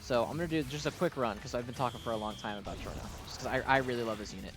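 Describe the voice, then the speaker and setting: A man with a mid-pitched voice talks off the cuff over gameplay, with clear music playing behind him. He rambles like a devoted fan and reviewer, gushing freely and poking fun at himself in a casual way. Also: racing. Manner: self-deprecating asides. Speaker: video game reviewer and player. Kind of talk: casual unscripted gameplay commentary.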